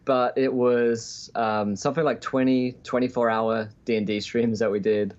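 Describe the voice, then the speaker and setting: A man's voice: medium pitch, fairly even intonation, normal speed, darkish tone. Englishman on a dull call line. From England, just outside London. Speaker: podcast guest, Twitch D&D streamer. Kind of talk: casual interview chat. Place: remote call-in.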